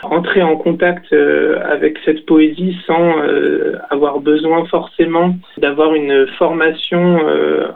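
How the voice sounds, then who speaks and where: A young man, a poet, answering interview questions thoughtfully, with many pauses and restarts. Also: on the high side, flat delivery, gravelly. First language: French. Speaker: poet and author. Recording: tinny phone-like radio sound.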